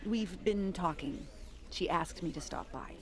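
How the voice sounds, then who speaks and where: A woman's voice: on the low side, measured pace, a little mellow, natural voice. A woman playing a tough, troubled police detective on the run in an audio drama. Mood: weary, resolved.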